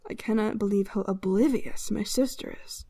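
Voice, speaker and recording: A woman's voice: medium pitch, moderate pace, warm tone, slightly rough, no echo. A woman playing a composed noble druid lady in a fantasy audio drama, speaking in a formal, gracious manner.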